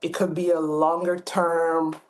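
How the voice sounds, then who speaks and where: A woman's voice: sits low, flat delivery, unhurried. A woman from Cameroon in her thirties, a podcast guest talking casually with many fillers and restarts. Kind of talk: casual interview talk.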